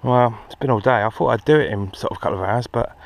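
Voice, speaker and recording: A man's voice: mid-range voice, fast talker, creaky. A British man chatting casually and ramblingly to viewers as a hobbyist host, muttering to himself outdoors. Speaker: amateur radio hobbyist and video host. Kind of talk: casual hobby vlog chat. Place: outdoors in a garden. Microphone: handheld action camera. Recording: action camera outdoors, steady background noise.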